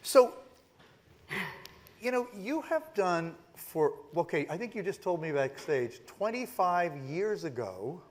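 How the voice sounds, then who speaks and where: A man hosting a conference stage interview, introducing his guest warmly and guiding the talk with long questions. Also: sits high, sing-song, takes it slowly. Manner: upbeat, effusive, guiding questions. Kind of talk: onstage interview. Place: conference stage with a live audience.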